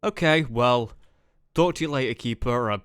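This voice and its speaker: A man's voice: mid-range voice, normal speed, a bit bright, clean tone, dry recording, studio quality, close to an everyday voice. A man playing a world-weary, sarcastic final-year student in a casual roleplay, muttering hesitant asides. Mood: friendly, hesitant. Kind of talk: casual improvised Minecraft anime roleplay. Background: music.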